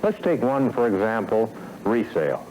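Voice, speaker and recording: An American man delivering a scripted sales training lecture as a company executive, in a measured, formal manner with emphatic phrasing and deliberate pauses, on a 1970s film soundtrack. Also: on the low side, very animated, darkish tone, a little hiss or hum, low-quality recording.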